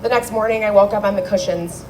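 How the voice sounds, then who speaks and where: A woman's voice: medium pitch, quick pace, a little mellow, rough recording. Young woman, eulogy at a celebration of life, sincere with wry asides, handheld mic, faint background noise. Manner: heartfelt, wry storytelling. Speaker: daughter giving a eulogy speech. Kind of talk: memorial speech read aloud. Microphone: handheld microphone.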